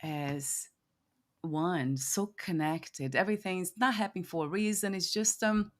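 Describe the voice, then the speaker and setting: A woman's voice: fairly low pitch, a little slow. A woman hosting a reflective conversational interview, musing aloud and often searching for her words. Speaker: podcast host interviewing a guest.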